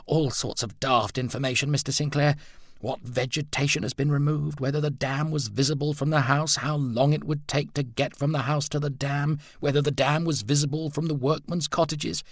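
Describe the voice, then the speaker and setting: A man voicing a brisk, practical working woman who talks in a blunt, matter-of-fact way. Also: on the high side, fairly even intonation, no room sound, clean studio sound, pitched above the performer's own voice. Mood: wry. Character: brisk practical woman, a dry-wall builder.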